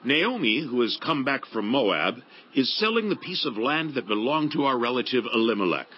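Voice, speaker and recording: A man's voice: medium pitch, big pitch swings, normal speed, dull audio, lightly acted. Man acting an honorable biblical landowner, swooping delivery. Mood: firm, formal. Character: kindly, honorable landowner of ancient Israel. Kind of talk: dramatized Bible audiobook acting.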